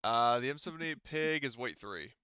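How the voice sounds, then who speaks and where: A man running a tabletop game as game master, explaining rules casually in a halting, rambling way with self-corrections. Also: mid-range voice, lively intonation, bright, forceful tone, clean tone, no echo, highs cut off.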